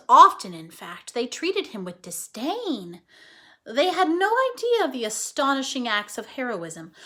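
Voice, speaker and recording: A woman reading a children's story aloud as a storyteller, with dramatic, deliberate phrasing. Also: high-pitched, sharp tone. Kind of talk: expressive children's story narration.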